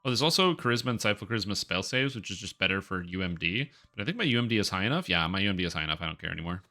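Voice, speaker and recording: A man's voice: deep voice, sing-song, racing, pushed and bright. A man with a congested, nasal voice chatting casually and ramblingly on a game live stream, close to the microphone. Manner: rambling, talks to himself and viewers. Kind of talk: casual live-stream chat while gaming.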